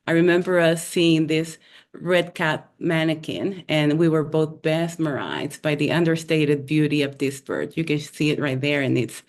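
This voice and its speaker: A woman from Honduras telling a personal story over a video call, in an unhurried way with small fillers. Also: low-pitched.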